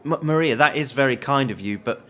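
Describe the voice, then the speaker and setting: A man playing a nervous, polite young retail worker who speaks haltingly in an audio drama. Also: mid-range voice, sharp tone, natural voice. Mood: politely reluctant. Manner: hesitant, halting.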